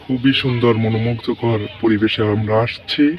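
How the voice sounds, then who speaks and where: A man's voice: mid-range voice, level tone, normal speed, far from the mic, lo-fi sound, music in the background. A man with a Bangladeshi accent giving walking travel vlog commentary outdoors, guiding viewers around as he goes. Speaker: vlogger, tour guide to viewers.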